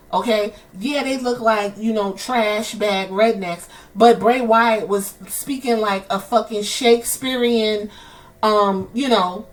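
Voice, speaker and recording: Woman, New York accent, podcast host, emphatic, profane, slightly distant from the mic. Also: fairly high pitch. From New York City. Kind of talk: heated commentary.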